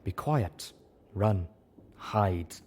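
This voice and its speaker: A man voicing a scarred zebra mare, a weary former slave, speaking low and heavy in grave, halting phrases. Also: lively intonation, a little mellow, slight background noise, full character voice. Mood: grim, hushed. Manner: grave, halting short commands.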